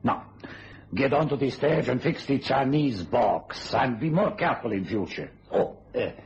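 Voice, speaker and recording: An actor playing a domineering, smug stage magician in a radio thriller, with an imperious, condescending manner. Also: male voice, mid-range voice, expressive, moderate pace, a little mellow, lightly acted. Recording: muffled radio recording, faint hiss.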